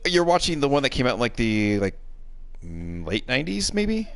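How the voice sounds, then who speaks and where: A man's voice: medium pitch, wildly swooping pitch, moderate pace, vocal fry, no room sound. A man chatting casually on a game stream, hesitant and meandering in how he puts his thoughts. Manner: hesitant, meandering, hedging phrases.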